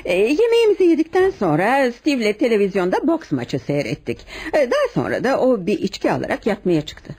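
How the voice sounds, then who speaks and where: An actress in a radio drama playing a composed but defensive unhappy wife who answers questioning with hesitant, cool politeness. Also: female voice, on the high side, huge pitch swings, a little fast, hardly any pauses, a little mellow, breathy edge, exaggerated voice. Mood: guarded. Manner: hesitant openings, coolly polite, parrying questions. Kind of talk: radio theatre acting.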